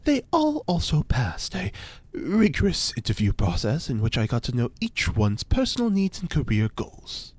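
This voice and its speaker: A man voicing an eccentric occult scientist who lectures with grand, theatrical self-importance. His pitch leaps and dives all over the place, and his tone carries a slightly husky edge. It fits an audio fiction drama. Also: wildly swooping pitch, moderate pace, a little mellow, exaggerated voice. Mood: lecturing. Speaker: reporter's informant.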